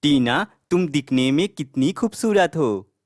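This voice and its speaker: A man voicing a young suitor in a Hindi animated story. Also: a little high, a little slow, dry recording, studio quality. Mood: admiring. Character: young suitor, admiring then baffled and dismissive.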